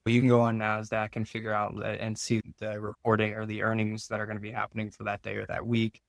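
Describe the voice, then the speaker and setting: A man's voice: lowish voice, monotone, fast, vocal fry, no room sound. A man giving informal, explanatory answers as a finance expert in an interview.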